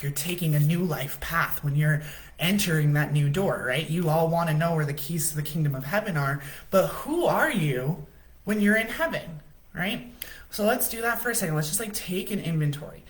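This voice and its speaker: A woman host giving a casual spiritual coaching talk on a livestream, chatty and often checking in with "right?", in her own low voice.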